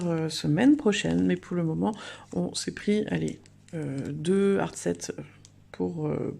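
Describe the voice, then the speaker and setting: A woman's voice: on the low side, vocal fry, darkish tone. A woman giving chatty, enthusiastic casual commentary as the host of a card unboxing video.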